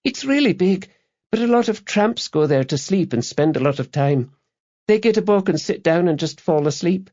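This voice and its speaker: A man's voice: high voice, animated, moderate pace, mellow, muffled recording, pitched above the performer's own voice. A man voicing a chatty, candid school-age girl with a frank, informal manner, as audiobook character dialogue.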